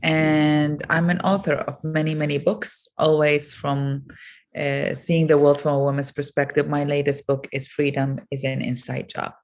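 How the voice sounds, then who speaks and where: Low voiced middle-aged woman from iraq, guest on an interview, lots of ums and pauses. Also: level tone, a little mellow. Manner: thoughtful, many pauses and fillers. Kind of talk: reflective interview talk.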